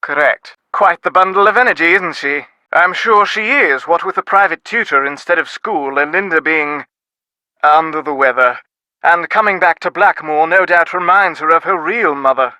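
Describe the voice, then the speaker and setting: An actor playing a busy, reserved middle-aged British diplomat in a video game, speaking in a formal, clipped, wordy way. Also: male voice, on the high side, normal speed, sharp tone, no echo, rough recording. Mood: exasperated, reserved.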